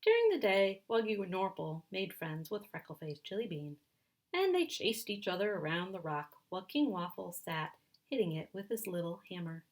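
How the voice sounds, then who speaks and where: A woman reading a children's story aloud in an animated, expressive and emphatic way. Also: fairly low pitch, normal speed, rough recording. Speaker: children's story reader, speech and language pathologist.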